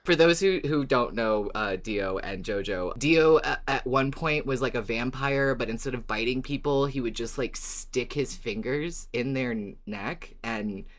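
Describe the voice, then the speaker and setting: A man's voice: mid-range voice, measured pace, few pauses, a bit bright. A man co-hosting a podcast in casual, easily amused chat. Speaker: podcast co-host.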